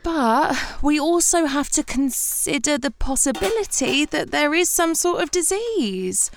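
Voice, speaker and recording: A woman's voice: sits high, lively intonation, measured pace. A woman acting in an audio comedy drama as a bossy, self-important writer turned investigator, articulate, theatrical and exasperated. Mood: measured, probing.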